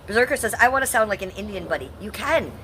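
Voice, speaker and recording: A woman's voice: medium pitch, expressive, fast talker, a bit bright, a little hiss or hum, rough recording. A middle-aged woman from Ohio, talking bluntly and sarcastically to her chat on a livestream, swearing freely. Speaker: livestream host.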